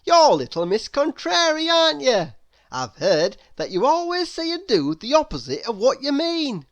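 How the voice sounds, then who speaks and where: Woman playing good-natured, animated cartoon storybook fellow. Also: high-pitched, normal speed, dry recording, voice pushed up. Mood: amused realization. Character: friendly, good-natured male cartoon Mister Happy.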